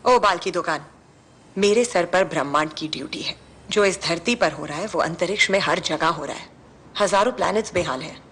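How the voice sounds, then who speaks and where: A low-voiced, blunt superheroine. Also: female voice, normal speed, a bit bright, slightly rough. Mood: curt, confident. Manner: assertive, unimpressed. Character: confident, blunt cosmic superheroine. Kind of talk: dubbed film dialogue.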